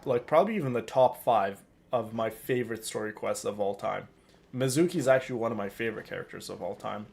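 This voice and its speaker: A man's voice: on the low side, fairly quick, gravelly, darkish tone. A man chatting casually and familiarly to his viewers while streaming a video game. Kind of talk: casual live stream chat. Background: game music.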